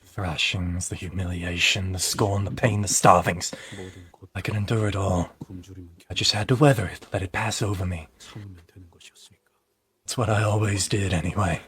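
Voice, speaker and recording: A man's voice: fairly even intonation, moderate pace, pauses often, fairly bright tone. A man voicing an anguished, self-loathing young man maddened by grief over lost love, in an amateur game dub. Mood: bitter, weary. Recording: low-quality amateur voice-chat recording.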